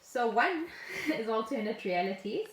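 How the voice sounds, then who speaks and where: A woman's voice: a little high, lively intonation, a little slow, a little hiss or hum. A middle-aged South African woman co-hosting a podcast, explaining things in casual chat and sometimes reading from notes. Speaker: podcast co-host. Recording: roomy home podcast echo.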